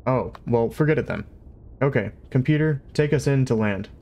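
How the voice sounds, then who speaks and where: A man voicing a brash, excitable space adventurer in a storybook reading, demanding and loud-mouthed. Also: medium pitch, normal speed, voice pushed up, close to an everyday voice.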